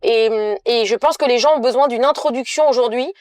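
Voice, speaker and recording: A woman's voice: a little high, fairly quick, a little mellow, no room sound. A woman from southeastern France hosting an interview in a chatty, enthusiastic and informal way. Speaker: interviewer, influencer host. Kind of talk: casual interview chat.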